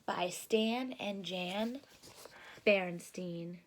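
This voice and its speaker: A woman reading a children's storybook aloud and explaining it simply, in an expressive, careful teacherly way. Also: on the low side, very slow, slight background noise.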